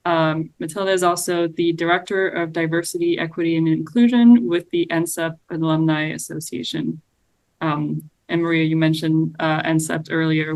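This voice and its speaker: Moderator: woman with a low voice, halting delivery, dry close sound. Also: no room sound, poor audio. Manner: hesitant, many fillers. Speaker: program staff moderator. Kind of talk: webinar Q&A moderation.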